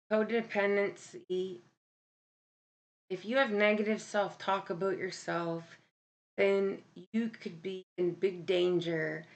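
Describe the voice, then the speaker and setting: A woman with a deep voice hosting a casual live stream, talking candidly and bluntly with many ums and self-corrections. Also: vocal fry. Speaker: livestream host. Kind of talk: casual live-stream talk about mental health.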